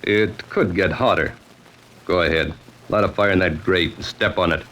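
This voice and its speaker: An actor playing a cool, smirking gunman in an old-time radio mystery, casual and unhurried with mocking politeness. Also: deep voice, a bit bright, low-quality recording. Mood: cool menace. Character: cool, smirking male gunman, quietly menacing.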